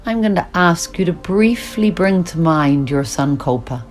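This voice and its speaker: Slow, low-voiced woman guiding meditation. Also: expressive, faint music behind. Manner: slow, soothing instruction with long pauses. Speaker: yoga nidra teacher. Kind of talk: guided relaxation meditation.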